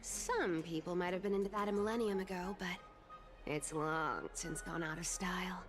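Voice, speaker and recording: A woman's voice: fairly low pitch, moderate pace, music in the background, close to an everyday voice. An actress playing a sly, playful, teasing cat-like female thief in a video game. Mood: playful, taunting.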